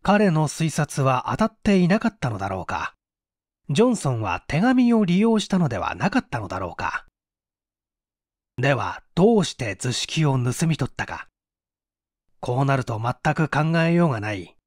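A man's voice: medium pitch, big pitch swings, moderate pace, dry recording, studio quality. A man reading mystery fiction aloud as a dramatic scripted narration. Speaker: audiobook reader.

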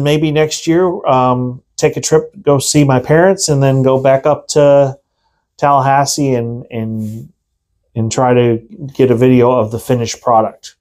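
A man's voice: mid-range voice, a little mellow. A man in his forties talks casually to his camera from a small plain room, rambling in a friendly way with plenty of ums and uhs. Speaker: vlogger, channel host.

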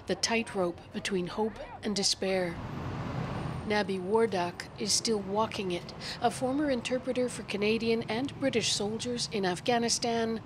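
A woman correspondent narrating a scripted news report in a measured, solemn manner. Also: mid-range voice, noisy background. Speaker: foreign correspondent.

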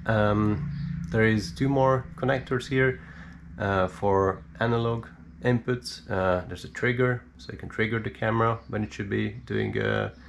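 A man speaks in a low register with a creaky, gravelly edge. He explains equipment as a hobbyist presenter, unscripted and halting, pausing often to think. There is audible noise behind his voice. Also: fairly even intonation. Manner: hesitant, halting, thinking aloud with many pauses. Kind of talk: unscripted hands-on technical explanation. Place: indoor room at a cluttered worktable.

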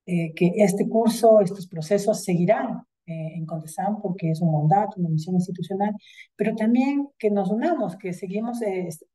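A middle-aged woman giving closing remarks on a video call, measured and hesitant, with many fillers. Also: medium pitch, mellow. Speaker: institutional representative. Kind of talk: closing remarks at an online event. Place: indoors with virtual background.

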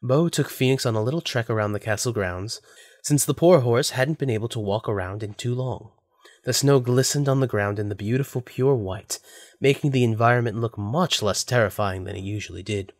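A man's voice: medium pitch, brisk, no echo, clean studio sound. A man narrating a scripted audio drama as its storyteller.

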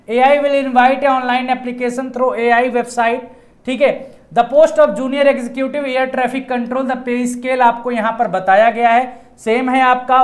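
A man in his thirties, an exam coaching teacher, explaining a job notice to students in a casual way, often repeating key points. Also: very high voice. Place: indoor studio in front of a projected screen.

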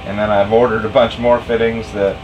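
A man's voice: fairly low pitch, little pitch movement, brisk, lo-fi sound, noisy background. A man in his forties casually walking through a homemade project in his cluttered workshop, explaining step by step, picked up by a camera mic a few feet away. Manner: conversational, explaining step by step with fillers. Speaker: boat owner and DIY tinkerer. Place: cluttered home workshop, indoors.

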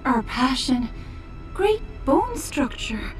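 A seductive female demon from a video game, teasing and playful. Her high voice takes its time and swoops freely, drawing out words in a sultry way. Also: big pitch swings, soft background music, exaggerated voice. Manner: sultry, playful, drawn-out delivery.

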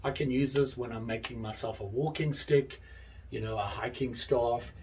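A man in his fifties casually showing his tools in a small workshop, talking in a relaxed, rambling way with many pauses and restarts. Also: medium pitch, a little hiss or hum. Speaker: hobbyist channel host. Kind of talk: casual show-and-tell vlog. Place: small workshop with pegboard tool wall, indoors.

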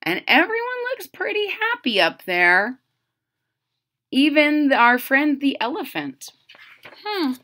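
A middle-aged woman reads a picture book aloud for children with casual asides. Her voice is high and very lively in pitch, and she takes her time, with exaggerated expression. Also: takes it slowly, pauses often. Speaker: storyteller reading a picture book. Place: small room at home, indoors.

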